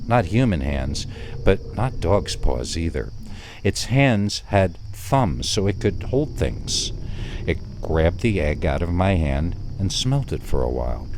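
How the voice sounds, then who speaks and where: A low-pitched male storyteller delivers a first-person horror tale. He is deliberate and suspenseful, leaving dramatic pauses between phrases. A bed of nighttime crickets and insects runs behind him. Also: a little mellow, a little hiss or hum. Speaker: narrator of scary stories.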